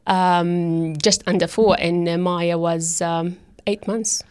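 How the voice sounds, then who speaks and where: A woman's voice: fairly low pitch, steady tone, slow, a little mellow, smooth. A woman in her thirties whose first language is Arabic, talking hesitantly with many fillers and restarts in a casual interview about her work as a teacher aide. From Middle East.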